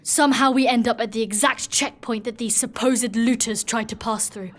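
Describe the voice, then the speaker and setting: A woman playing a proud, stern warrior knight in a dark fantasy audio drama, speaking in a formal, commanding way. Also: on the high side, steady tone, normal speed, close to an everyday voice. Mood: desperate, bitter.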